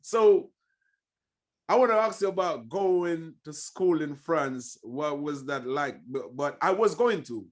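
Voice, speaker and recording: Male podcast host, eager, pushes with questions, slightly high pitch. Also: expressive, slow pace. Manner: eager, pressing with repeated questions. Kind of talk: podcast interview.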